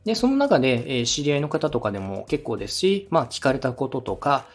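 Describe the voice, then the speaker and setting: A man's voice: medium pitch, sing-song, fast talker, soft background music. A man hosting a podcast, giving advice in a polite, encouraging, conversational way. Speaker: podcast host, radio director giving advice. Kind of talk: conversational coaching, polite explanation.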